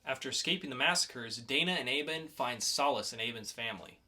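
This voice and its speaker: Bright-voiced man co-hosting a podcast. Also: mid-range voice, a little fast. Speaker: podcast co-host. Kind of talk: casual comic book review.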